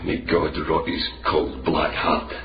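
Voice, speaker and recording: An actor playing a desperate condemned prisoner in a radio drama, bitter and deliberate, speaking formally as he bargains grimly. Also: a bass voice, little pitch movement, vocal fry, heavily acted. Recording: muffled, noisy radio recording in echoey cell.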